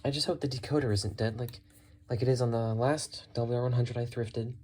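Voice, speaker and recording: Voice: mid-range voice, fairly even intonation, fairly quick, darkish tone. A person narrating casually while working hands-on, musing and thinking aloud. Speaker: radio collector presenting a thrift find.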